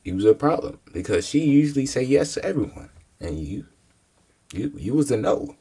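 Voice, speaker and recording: A man's voice: mid-range voice, big pitch swings, moderate pace, dark tone, husky. A young man giving laid-back, blunt commentary while reacting to a video. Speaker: reaction streamer.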